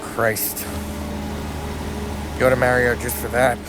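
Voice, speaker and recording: An actor playing a wisecracking, hot-headed 1930s American gangster in a video game, with brash, slangy, streetwise speech. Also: male voice, medium pitch, little pitch movement, a little slow, over loud music, close to an everyday voice. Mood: teasing. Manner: brash, teasing. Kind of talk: video game cutscene acting.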